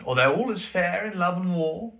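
An actor playing a cheeky, rakish young gambler son in a period audio drama, with a playful, histrionic, teasing manner. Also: male voice, high voice, animated, moderate pace, vocal fry, bright, forceful tone, exaggerated voice. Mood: mock-innocent.

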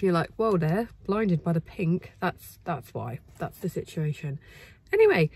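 Croaky, bunged-up woman vlogging by phone. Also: lowish voice, a little mellow, dry recording. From England. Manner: chatty, bubbly, rambling asides. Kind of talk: casual vlog chat. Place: parked car, then indoor farm shop. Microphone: phone held at arm's length.

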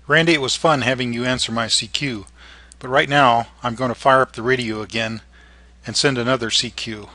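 A man with a bright, forward voice narrates an informal how-to. His phrasing is halting, broken into short pieces, and the sound is dry and close. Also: mid-range voice, fairly even intonation, no echo. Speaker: amateur radio operator and narrator.

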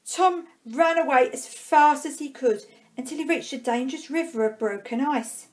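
A woman reading a children's storybook aloud expressively, with dramatic pauses and drawn-out words. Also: high voice, darkish tone. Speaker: primary school staff member reading a storybook.

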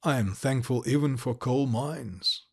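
A man reading aloud, voicing a kindly, unhappy gentleman who speaks mildly and unconcernedly. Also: mid-range voice, unhurried, creaky, husky, voice pushed down. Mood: calm. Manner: mild, unconcerned. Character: unhappy but kindly middle-aged gentleman.

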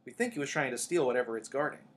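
A man's voice: mid-range voice, lively intonation, very fast, lightly acted. A man voicing an earnest, eager young schoolboy wizard in a storybook, speaking in a direct way.

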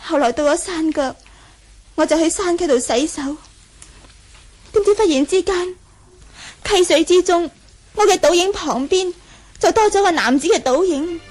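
A meek young Buddhist nun in a radio drama. The voice is extremely high, earnest and deferential, like a dutiful disciple addressing elders. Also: female voice, normal speed, long pauses, a little mellow. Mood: earnest, reverent. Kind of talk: Cantonese radio drama acting.

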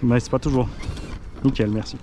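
A man's voice: medium pitch, steady tone, moderate pace, noisy background. A man hosting an RC car outing, chatting casually and laughing often as he drives outdoors. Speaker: host filming an RC car outing. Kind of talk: casual running chat while driving. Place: outdoors in an urban spot.

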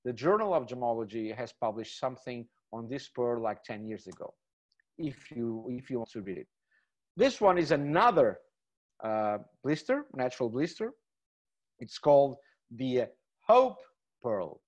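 A man's voice: mid-range voice, big pitch swings, normal speed. A middle-aged man giving an informal webinar lecture on gems, enthusiastic and repeating words with many fillers. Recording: slightly dull webinar audio.

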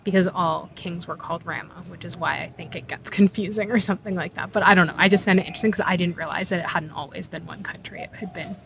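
A woman's voice: on the low side, steady tone, fast talker, vocal fry, hardly any pauses. Woman, thirties, travel vlogger, chatty and reflective, noisy outdoor take. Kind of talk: casual travel vlog. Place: outdoors at temple grounds. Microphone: phone camera at arm's length.

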